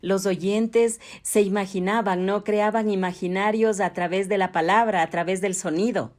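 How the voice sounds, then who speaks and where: Ecuadorian woman interviewer, measured and formal. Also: medium pitch, steady tone, a little mellow. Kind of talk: interview questions.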